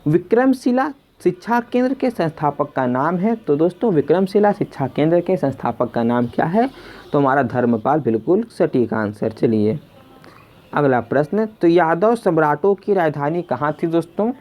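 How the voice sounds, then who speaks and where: Quiz tutor for exam prep, soft dark tone, medium pitch, repeats each question to listeners in a friendly address. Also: animated, moderate pace. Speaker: quiz teacher. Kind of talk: scripted question-and-answer exam lesson narration.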